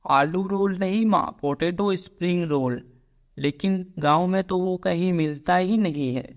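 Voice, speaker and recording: A man's voice: sits high, unhurried. A man voicing a caring, dutiful young village son devoted to his mother in a Hindi cartoon story. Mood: eager, caring.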